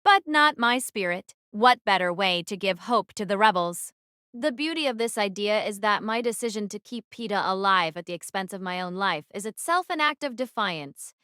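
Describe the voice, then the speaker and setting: A woman's voice: medium pitch, lively intonation, normal speed, sharp tone, smooth, dry recording, studio quality. A woman playing a defiant, brooding teenage girl who narrates her own story in an audio drama. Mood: resolute, grave. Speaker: narrator and lead actress.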